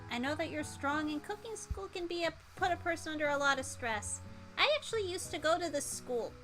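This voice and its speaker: Woman putting on an earnest, high-pitched apologetic monster. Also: animated, a little fast, a bit bright, faint music behind. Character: apologetic former-golden-retriever spork monster, earnest and courtly.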